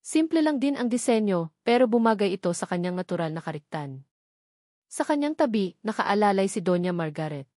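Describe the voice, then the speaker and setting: A woman narrates a scripted love story as a dramatic storyteller. Her voice sits at a medium pitch, and the recording is dry with little room sound. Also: big pitch swings, brisk, warm tone, dry recording.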